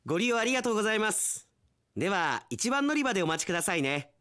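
Man playing friendly country station attendant, lively intonation. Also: high-pitched, quick pace. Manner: friendly, polite, talks to himself. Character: kind, diligent lone young railway man at sleepy country station. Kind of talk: radio drama acting.

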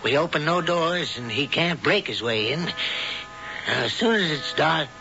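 A coldly polite schemer speaks in a smooth, slightly high voice. He plots murder as calmly as an errand in an old radio drama. The sound is dull and vintage, with music clearly underneath. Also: moderate pace. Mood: scheming. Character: sinister middle-aged man, calm coven plotter. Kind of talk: 1970s radio drama acting.

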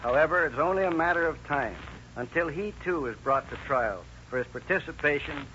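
Formal, higher-pitched man on old radio. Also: lively intonation, brisk, pushed and bright. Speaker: sheriff giving case epilogue. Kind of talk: scripted, formal 1930s radio address. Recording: noisy muffled old radio recording.

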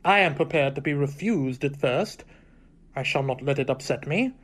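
A man's voice: medium pitch, animated, normal speed, a bit bright. An actor playing a pompous, formal, self-satisfied clergyman in a radio drama, speechifying as a stiff suitor. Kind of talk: theatrical radio drama acting.

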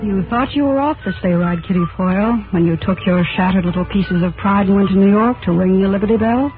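An actor playing a knowing, taunting narrator who speaks punchily and dramatically in 1940s radio drama style. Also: male voice, high voice, fast, lightly acted. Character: knowing, sardonic narrator addressing the heroine. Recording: tinny narrow-band 1940s radio, faint music.

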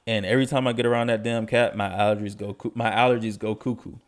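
A man's voice: on the low side, flat delivery, fast. A young man hosting a casual sports podcast, stuffy from allergies, talking in a laid-back, slangy way. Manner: laid-back, slangy, enthusiastic about stats. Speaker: podcast host.

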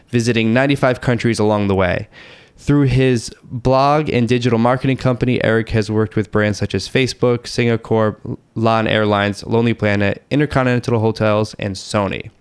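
A man from Boston hosting a podcast, chatting in an upbeat, casual way with quick asides. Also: mid-range voice, fairly even intonation, clear, resonant tone. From Boston, USA. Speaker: podcast host.

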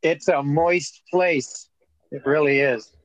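Joking man with a higher voice. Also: slow pace, low-quality recording. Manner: joking, rambling storyteller. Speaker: podcast co-host. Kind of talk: casual chat.

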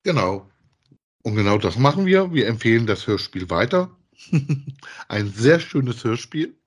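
A man co-hosts a casual podcast. He talks at a slow pace in a mid-range pitch. His delivery is halting and deliberate. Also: sing-song, slightly rough. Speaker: podcast co-host.

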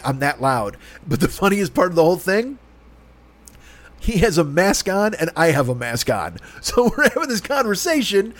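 A man's voice: high voice, dry recording. A middle-aged man from Chicago with a Chicago accent, telling a long exasperated story in a heated, profane rant. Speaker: podcast host.

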